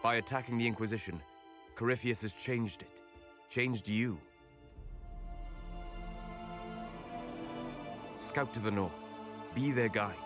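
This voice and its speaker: A man playing a calm, scholarly elven mage in a video game, speaking in a grave, measured, formal way over music. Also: medium pitch, natural voice. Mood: solemn, urging. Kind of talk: video game cutscene acting.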